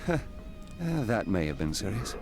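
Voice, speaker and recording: A man's voice: fairly low pitch, sing-song, normal speed, over music. A man voicing a flippant, roguish thief in a fantasy video game, glib, casual and teasing. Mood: wry, flippant. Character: flippant, roguish male thief, breezily self-amused.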